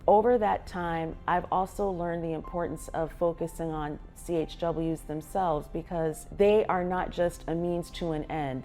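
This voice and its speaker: A woman's voice: low voice, mellow. A middle-aged woman from the United States, a professor describing her work in a documentary interview. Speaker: public health professor.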